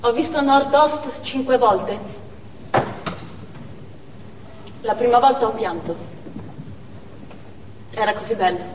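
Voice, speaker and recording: A woman's voice: a little high, animated, measured pace, a little mellow. Hardened woman militant, theatrical delivery, roomy echo, faint noise. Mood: moved, wistful. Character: hardened Chechen woman militant trained for attack. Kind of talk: theatrical stage monologue. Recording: echoey hall, distant mic, faint noise.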